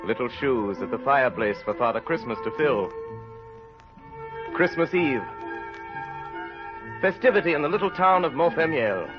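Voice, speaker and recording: A man narrating a radio drama in a grave, deliberate, theatrical style. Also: mid-range voice, expressive. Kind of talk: dramatic scripted narration, old-time radio. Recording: muffled old radio with music behind.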